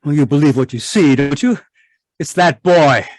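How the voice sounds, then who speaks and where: A man's voice: fairly high pitch, moderate pace, a little mellow, dry recording, close to an everyday voice. A man playing an irritable, domineering middle-aged scientist husband in an old-time radio drama, contemptuous and blustering. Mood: agitated. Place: indoors, live video call performance.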